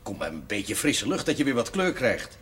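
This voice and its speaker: An actor playing a brisk, encouraging small-town man in a television drama. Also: mid-range voice, sing-song, a bit bright, a little hiss or hum. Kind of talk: naturalistic television drama acting.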